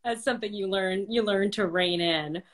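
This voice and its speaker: A woman in her thirties hosting a conversational interview over a video call in an expressive way. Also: medium pitch, moderate pace. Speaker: interviewer, host. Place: home room with bookshelves, video call.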